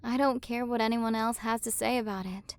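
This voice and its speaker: Woman acting a high-voiced, proud tsundere warrior queen. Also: fairly even intonation, fairly quick, smooth, close to an everyday voice. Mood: tender, softened. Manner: haughty but flustered, softening into intimate murmurs. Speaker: voice actor. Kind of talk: ASMR romantic roleplay acting.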